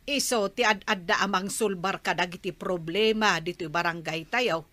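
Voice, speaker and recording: A woman's voice: on the low side, normal speed, bright, forceful tone, no echo. A woman playing a concerned village woman who scolds and swaps gossip in a comedy radio drama.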